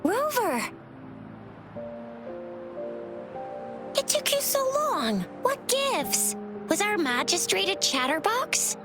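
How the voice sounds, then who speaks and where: Cheeky bubbly young game girl, super high voice, chatty, music behind her. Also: sing-song, unhurried, exaggerated voice. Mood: playfully impatient. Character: energetic, cheeky, loyal young girl companion. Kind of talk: English video game voice acting.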